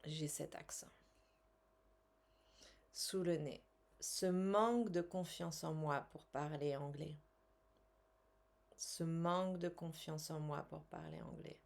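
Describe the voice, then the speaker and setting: Female coach for guided tapping exercise: slightly low pitch, very slow delivery, measured instructions with pauses. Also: gravelly, darkish tone. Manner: measured, instructional, with pauses between short phrases. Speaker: tapping coach and language teacher.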